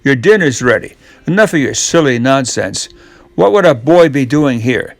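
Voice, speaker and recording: A man's voice: mid-range voice, lively intonation, moderate pace, no echo, natural voice. A man voicing a tall, vain, bossy giant's wife in a children's storybook, haughty and scolding.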